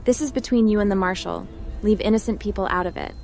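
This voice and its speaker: A woman's voice: medium pitch, fast, vocal fry, darkish tone, faint music behind, natural voice. A woman voicing a confident, wry female hacker adventurer in scripted video game roleplay, with a cool, direct delivery. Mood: firm.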